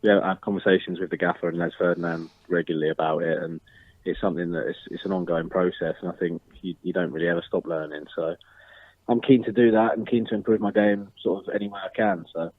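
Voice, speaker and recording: A young man in his twenties talks over a tinny phone line. His voice sits very low and sounds dry and close. He answers questions modestly and measuredly, full of ums and restarts. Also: steady tone, fast talker, dry recording. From Northern Ireland. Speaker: football striker, interview guest. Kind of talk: casual interview answers.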